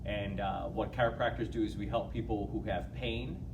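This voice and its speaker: A man's voice: on the low side, fairly even intonation, moderate pace, background noise. A man reading a picture book aloud to young listeners, with casual asides. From United States, Illinois.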